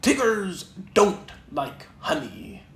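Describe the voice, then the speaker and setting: Reader doing a bouncy loud tiger, cheery and full of himself, a bit raspy, roomy sound. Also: medium pitch, big pitch swings, slow pace. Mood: cheerful. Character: bouncy, boastful young male tiger. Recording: roomy echo, faint background noise.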